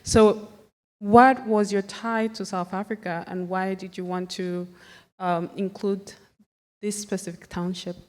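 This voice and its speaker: A woman from Zambia speaking as a panelist, in a thoughtful, measured way. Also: mid-range voice, fairly even intonation, a little mellow. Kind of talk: conference panel talk. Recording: long hall reverb.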